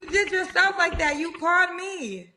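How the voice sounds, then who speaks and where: A woman's voice: extremely high pitch, moderate pace, sharp tone. A woman hosting a call-in show, questioning a caller in a direct, probing, informal way. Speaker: call-in dating show host. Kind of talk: interviewing a caller.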